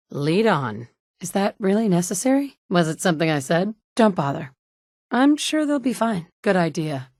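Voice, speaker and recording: Tough guarded survivor woman for a game, deep voice, matter of fact, no echo at all. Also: darkish tone, studio quality. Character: determined, guarded woman survivor, a searching mother. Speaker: voice actor for a video game player character. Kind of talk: video game dialogue lines.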